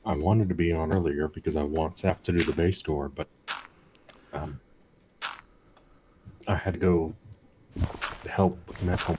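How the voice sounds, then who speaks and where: A man's voice: extremely low pitch, steady tone, unhurried, long pauses, background noise. A man in a game stream's voice chat, making dry, matter-of-fact remarks with a few fillers. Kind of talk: casual voice-chat banter.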